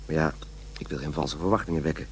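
A man's voice: a bass voice, steady tone, fast talker, slight background noise, low-quality recording. An actor playing a fussy, self-important man who dictates his journal and mutters corrections, in a radio play. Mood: pompous, hesitant.

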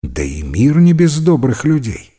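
A man voicing a kindly but gently stern priest who counsels in a calm, encouraging, preachy way. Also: medium pitch, unhurried, breathy edge, soft background music, pitched above the performer's own voice, exaggerated voice. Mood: firm, encouraging.

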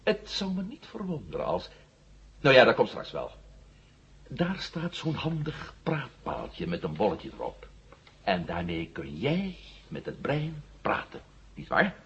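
A man's voice: medium pitch, wildly swooping pitch, measured pace, fairly bright tone, husky, exaggerated voice. An actor playing a cocky, determined hero questioning his captive in a 1970s radio drama, wry and commanding. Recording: muffled, noisy old radio drama recording.

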